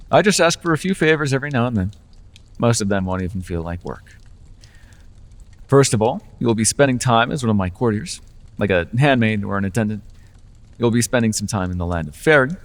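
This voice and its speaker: A man's voice: lowish voice, long reverb tail. A man playing a teasing fae patron. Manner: teasing, charming, conversational with small restarts. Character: playful male fae patron bargaining with a warlock. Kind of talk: ASMR fantasy roleplay.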